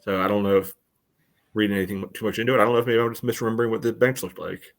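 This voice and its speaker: A man co-hosting a sports podcast, giving casual analysis in a halting, rambling way padded with filler phrases. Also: fairly low pitch, fairly even intonation. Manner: halting, rambling, very frequent "you know". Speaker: podcast co-host.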